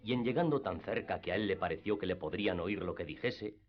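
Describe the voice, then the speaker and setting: A man's voice: deep voice, quick pace, slight background noise. A man narrating a literary radio drama from a script. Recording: slightly dull radio recording. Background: music.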